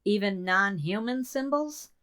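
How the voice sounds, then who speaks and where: A woman's voice: medium pitch, expressive, unhurried, fairly bright tone, clean tone. A woman playing a curious, practical colleague in a radio drama, inquisitive and conversational. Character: curious, practical female colleague wondering aloud. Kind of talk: radio theater acting.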